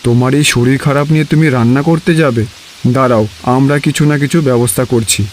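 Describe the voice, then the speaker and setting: A man's voice: mid-range voice, fairly even intonation, quick pace, slight background noise, close to an everyday voice. A man playing a caring, worried village farmer, husband and father in a Bengali cartoon story.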